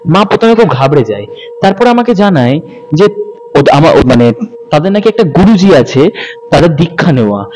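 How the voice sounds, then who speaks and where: Mellow-voiced man telling a horror story. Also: on the high side, moderate pace, long pauses, soft background music, poor audio. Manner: calm, steady storytelling in a woman's first-person voice. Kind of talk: first-person horror story reading.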